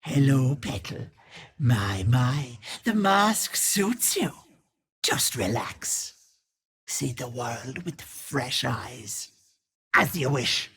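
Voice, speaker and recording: An actress playing a gleefully malicious old hag in a video game, raspy and theatrical, with mock-sweet endearments and taunting menace. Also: female voice, very deep voice, big pitch swings, very slow, exaggerated voice. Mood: mock-sweet, teasing. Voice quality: husky, raspy cackling old voice.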